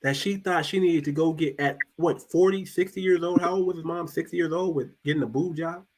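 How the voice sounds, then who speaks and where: A fairly high-voiced man talks through his opinions in a loose, casual way. He restarts phrases often and lets his voice sink quiet when he drives a point home. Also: steady tone, a little fast. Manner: loose and conversational, repeats and restarts words, drops pitch and volume for emphasis. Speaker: podcast host.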